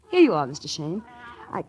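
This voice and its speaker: Coy heiress with lively pitch. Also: female voice, background noise, exaggerated voice. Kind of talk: 1940s radio drama acting. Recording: 1940s radio recording.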